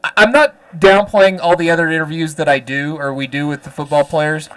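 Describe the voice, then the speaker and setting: A man hosting a sports radio show, asking questions in an animated, candid way with stammering restarts. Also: on the high side, brisk, clear, resonant tone, no room sound, poor audio. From Mexico. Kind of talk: casual sports radio interview.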